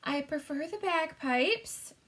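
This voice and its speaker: A woman voicing a gracious, composed Queen in a storybook, speaking in a polite, measured, formal way. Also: high-pitched, lively intonation, a bit bright, voice pushed up, heavily acted.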